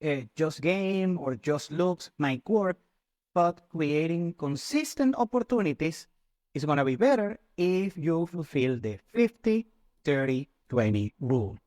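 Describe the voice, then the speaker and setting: A man with a fairly high voice talks through his advice like a lecture, often stopping to restart a phrase. The sound is dry, with no room around it. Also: animated, a little slow, darkish tone, dry recording. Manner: advisory, lecture-like, with frequent restarts. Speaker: channel host. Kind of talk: casual talk to camera.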